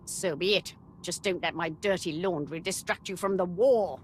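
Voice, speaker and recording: An actress playing a proud, warlike empress in a video game, speaking formally and grandly. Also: female voice, fairly low pitch, moderate pace, a little hiss or hum, lightly acted. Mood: indignant. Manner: haughty, formal, declamatory. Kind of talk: cinematic video game voice acting.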